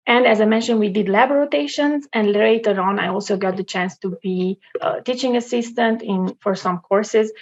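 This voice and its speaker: A woman in her thirties from Romania presents her career path over slides, pausing often with fillers. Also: medium pitch, vocal fry, muffled recording. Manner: halting, many fillers. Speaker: scientist giving a career talk.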